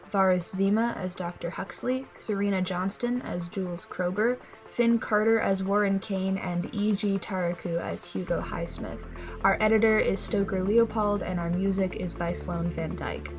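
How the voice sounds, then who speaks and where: A woman's voice: mid-range voice, fairly even intonation, normal speed, faint music behind, rough recording. A woman announcer giving a scripted credits read for an audio show.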